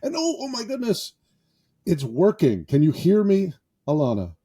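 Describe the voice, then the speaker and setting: A man's voice: sits high, huge pitch swings, moderate pace, dark tone, slightly rough. A man hosting a podcast, joking about himself in a loose, improvised monologue from a small foam-padded closet. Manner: self-deprecating and jokey. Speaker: podcast host.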